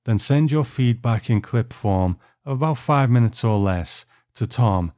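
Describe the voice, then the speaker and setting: A man's voice: medium pitch, animated, unhurried, mellow, no echo, studio quality. A man hosting a podcast, reading out a scripted announcement to listeners. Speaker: podcast host.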